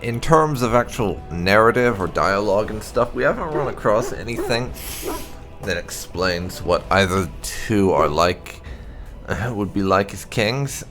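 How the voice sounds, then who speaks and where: Man with lively, swooping pitch, slow pace. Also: soft background music. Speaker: gaming video host. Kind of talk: casual let's-play commentary.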